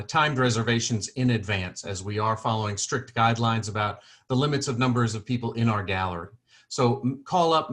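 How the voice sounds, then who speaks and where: A man's voice: mid-range voice, a bit bright. A man hosting an online museum program who speaks in an earnest way, often restarting his questions. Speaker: host. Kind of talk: formal welcome and interview. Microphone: video call.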